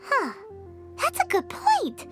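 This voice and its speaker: Tiny fairy sidekick girl, extremely high squeaky voice, bouncy intonation, perky, blunt, video game dialogue. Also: huge pitch swings, slow pace, over loud music, full character voice. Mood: curious, suspicious. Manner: childlike, chirpy, refers to self in third person.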